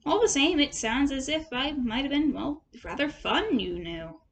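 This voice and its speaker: A loyal storybook mole, voiced by a woman, high and gentle. He sounds timid and wistful, with a hesitant way of speaking. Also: expressive, moderate pace. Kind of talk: storybook character voice.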